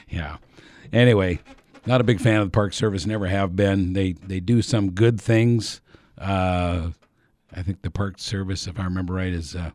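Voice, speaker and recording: Deep-voiced rambling Alaskan man. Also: a little mellow, dry recording, clean studio sound. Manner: rambling, hesitant, frequent uh and um, chuckling asides. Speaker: radio host. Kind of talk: casual radio talk.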